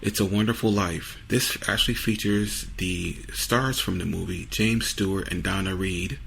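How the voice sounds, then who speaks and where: A man introducing a podcast episode in a casual, low-key, conversational way. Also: moderate pace, creaky, fairly bright tone, faint music behind. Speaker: podcast host.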